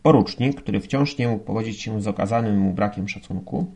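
A man reading a novel aloud as an audiobook narrator, in expressive literary style. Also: on the low side, fairly quick, vocal fry.

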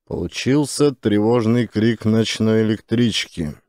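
A man's voice: fairly low pitch, unhurried, darkish tone, no echo, clean studio sound. A man narrating a satirical family story from a script.

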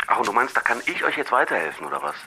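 An actor playing a casual, teasing rock singer through a telephone filter in a German audio drama. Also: male voice, mid-range voice, sing-song, rapid-fire, pushed and bright, breathy edge, full character voice.

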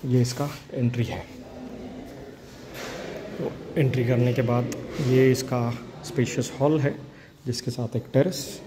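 Man, even-pitched, casual property walkthrough on a phone, clear room noise behind. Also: measured pace, pauses often. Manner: casual, descriptive, pointing things out room by room. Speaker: property tour guide. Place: empty house, walking from room to room.

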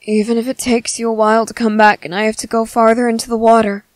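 A woman voicing a gentle, earnest young merman in a soft, halting, soothing ASMR roleplay. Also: a little high, little pitch movement, no room sound, natural voice. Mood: reassuring.

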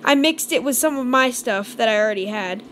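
A young woman with a fairly high voice, chatting casually as she walks through a game world. Her talk is upbeat and meandering, and a steady hiss sits under the recording. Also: brisk. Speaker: gaming channel host. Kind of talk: casual gameplay commentary.

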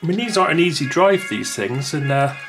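A man's voice: fairly high pitch, expressive, brisk, loud music behind the voice. Chatty man reviewing a gadget. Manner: rambling, chatty, many restarts. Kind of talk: casual unboxing talk.

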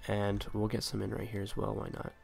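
A man's voice: on the low side, completely flat delivery, brisk, creaky, darkish tone, soft background music. A man with a stuffy nose casually commenting on a city-building game, thinking aloud with hesitant restarts.

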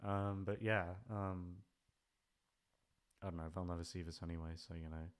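A man's voice: low voice, steady tone, normal speed, smooth. A man chatting casually in a rambling, hesitant way, swearing freely as he goes. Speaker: ASMR voice actor, channel creator. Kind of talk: rambling casual farewell chat.

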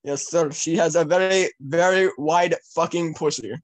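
A man's voice: on the high side, fairly even intonation, moderate pace. A teenage male talking casually and crudely while making prank calls from a small room at home. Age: teens. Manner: crude, foul-mouthed. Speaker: prank caller. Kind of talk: casual prank call banter.

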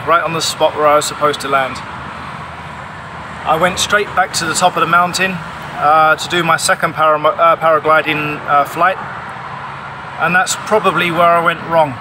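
A man in his forties giving an emphatic, insistent explanation to camera, recorded on a phone outdoors. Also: a little high. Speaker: paraglider pilot vlogging. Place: outdoors under a tarpaulin canopy. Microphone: phone at arm's length. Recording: clear outdoor ambient noise.

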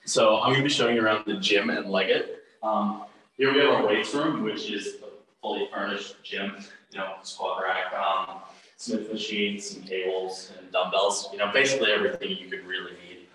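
A man's voice: lowish voice, little pitch movement, moderate pace, bright, forceful tone. A young Australian man giving a casual walk-through as a student tour guide, recorded indoors from a few steps away. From Sydney, Australia. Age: young adult. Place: indoor gym and corridors. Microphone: phone camera a few steps away. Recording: echoey, distant, faintly noisy indoor sound.

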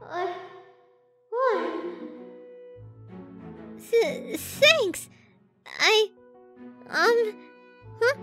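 A flustered teenage anime girl with a tiny, extremely high voice. She stammers shyly about her crush, taking her time with hesitant, excitable delivery. The tone is bright and girlish. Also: heavily acted. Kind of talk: anime roleplay audio drama.

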